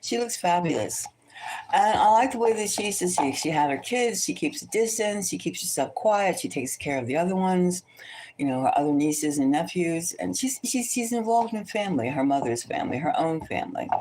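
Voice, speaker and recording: A woman gives a casual tarot reading in a fairly low voice with lively, swinging intonation. She rambles and thinks aloud, repeating phrases in a gossipy, opinionated way. There is faint noise behind her. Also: normal speed, low-quality recording. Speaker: tarot reader hosting a celebrity psychic reading.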